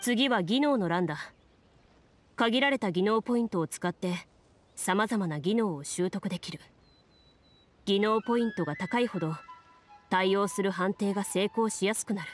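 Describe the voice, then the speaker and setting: A woman's voice: mid-range voice, moderate pace, pauses often, close to an everyday voice. A woman voicing a serious, composed young duelist in an anime game, explaining formally like a game master. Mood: composed, instructive. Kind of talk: Japanese anime game voice acting. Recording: studio voice with music underneath.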